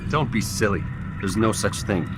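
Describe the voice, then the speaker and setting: An actor playing an arrogant, cold medieval assassin with a haughty, terse manner in a video game. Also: male voice, lowish voice, lively intonation, normal speed, loud music behind the voice, lightly acted. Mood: cool arrogance. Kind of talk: video game cutscene dialogue.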